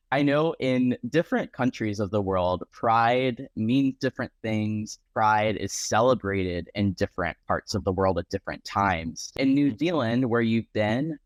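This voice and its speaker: A man's voice: on the low side, lively intonation, measured pace, no room sound. A man hosting a casual interview, affirming his guest and laughing often.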